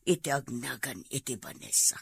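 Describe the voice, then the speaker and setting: A woman's voice: a bass voice, normal speed, vocal fry, fairly bright tone, breathy edge, heavily acted. A woman playing a resolute Filipino mother in a family drama. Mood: determined. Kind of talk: comedy-drama acting.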